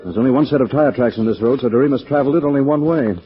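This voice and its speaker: An actor playing a composed, urbane, analytical gentleman sleuth in a 1940s-style radio mystery. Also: mid-range voice, racing, breathy edge, lightly acted. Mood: inquisitive. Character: calm, inquisitive gentleman sleuth investigating eerie mystery. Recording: dull old radio recording.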